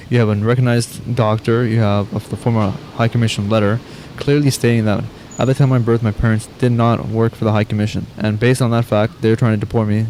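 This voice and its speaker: Flat, lowish young Canadian man. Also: slight background noise, low-quality recording. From Ottawa, Canada. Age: 20s. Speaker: interviewee. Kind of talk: explaining own case in interview.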